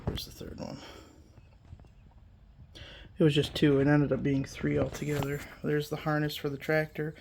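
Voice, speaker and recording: Gravelly voice, stuffed-up nose after a cold, rambling mechanic, lots of ums, casual workshop walkthrough. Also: mid-range voice, monotone, moderate pace, long pauses, darkish tone, slight background noise. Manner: rambling, self-correcting, many fillers. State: congested from a lingering cold. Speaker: small engine repair channel host. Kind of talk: casual hands-on repair walkthrough.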